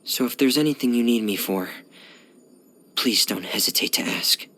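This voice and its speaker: A man playing a shy, gloomy teenage boy diver in video game voice lines, speaking softly and hesitantly in a hushed, breathy voice. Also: medium pitch, normal speed. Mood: quiet, wistful. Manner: hesitant, reserved, subdued.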